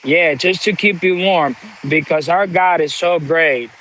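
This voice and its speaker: Slow, preachy man. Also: a little high, a bit bright, slight background noise, low-quality recording. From Dominican Republic. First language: Spanish. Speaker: livestream host. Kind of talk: motivational live-stream talk.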